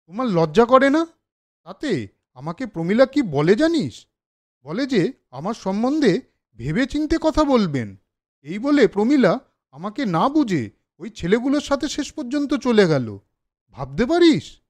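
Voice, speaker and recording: A man's voice: high voice, big pitch swings, a little slow, long pauses. A man voicing a sensitive, earnest young elder brother in a cartoon story, speaking emphatically. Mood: indignant.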